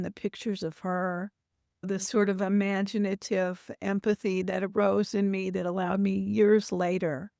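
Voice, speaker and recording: A woman in her sixties from Louisville in the United States, giving thoughtful, reflective interview answers and often pausing to rephrase. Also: medium pitch, creaky, mellow, no room sound, clean studio sound. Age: 60s. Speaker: author, interview guest.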